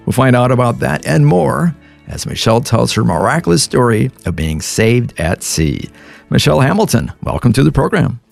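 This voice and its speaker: A man hosting an interview, reading a scripted introduction and asking measured, attentive questions. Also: mid-range voice, very animated, vocal fry, soft background music. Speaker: host.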